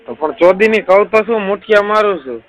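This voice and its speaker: High-pitched, crude young man. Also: lively intonation, fairly quick. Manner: crude, constantly swearing. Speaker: caller. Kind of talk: casual phone chat. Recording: amateur phone call recording.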